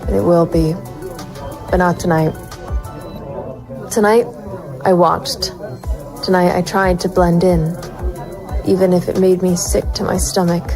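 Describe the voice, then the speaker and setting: A woman's voice: lowish voice, level tone, unhurried, long pauses. An actress playing a weary, resolute female private investigator, narrating a noir audio drama in clipped, sardonic phrases. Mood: brooding, grim. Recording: music bed behind the voice.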